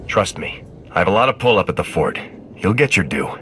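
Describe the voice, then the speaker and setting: An actor playing a steady, dutiful military sergeant in a video game, speaking in a measured, firm, reassuring way. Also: male voice, sits low, a little hiss or hum, exaggerated voice.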